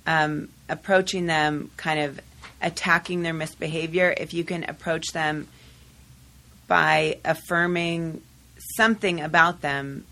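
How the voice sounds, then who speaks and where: A woman guest recounts a story in a measured, thoughtful way. Her voice is very low for a woman, and the delivery stays calm and even. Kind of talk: casual podcast discussion. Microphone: studio microphone.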